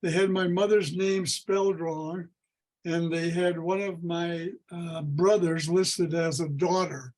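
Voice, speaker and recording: An older man with an American accent reminisces casually over a video call. His voice is fairly high for a man, and he speaks slowly. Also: steady tone, darkish tone, rough recording. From American Midwest. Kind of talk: casual personal recollection. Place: online video meeting.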